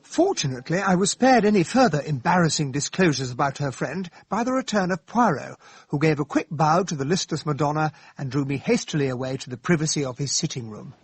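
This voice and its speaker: Radio drama narrator: genial English gentleman, upper-class accent, lively pitch, earnest and courteous. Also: fairly quick, husky. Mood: reflective narration. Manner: earnest, polite, slightly hesitant.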